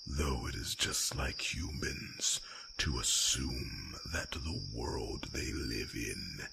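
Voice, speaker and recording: A man voicing an ancient, courteous, proud dragon in a fantasy ASMR roleplay, speaking formally in a gravelly, breathy near-whisper with rumbling hums. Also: full character voice. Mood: haughty, grave. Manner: slow, formal, drawn-out phrasing with rumbling hums. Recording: noisy track with loud nature ambience.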